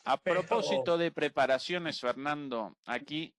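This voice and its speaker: A man's voice: a little high, normal speed, fairly bright tone. A man hosting a live, casual political talk show in an exclamatory, mocking way.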